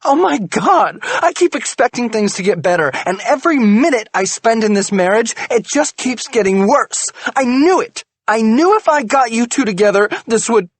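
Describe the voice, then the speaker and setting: A man's voice: on the high side, expressive, slow, pauses often, breathy edge, no echo, pitched above the performer's own voice, full character voice. A man voicing a betrayed wife in a screaming, tearful rage in an audiobook. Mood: furious. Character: furious betrayed wife, shrieking, adult woman. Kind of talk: audiobook character voice.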